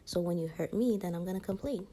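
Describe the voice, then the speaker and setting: A woman talks casually to her viewers in a lowish, mellow voice. She rambles along with slang and filler phrases, over noticeable background noise. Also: fast talker. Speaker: vlogger.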